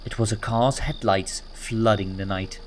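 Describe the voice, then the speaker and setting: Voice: medium pitch, steady tone, measured pace, a little hiss or hum. A narrator reading a children's story aloud from a script, in a storybook style. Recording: music bed under the voice.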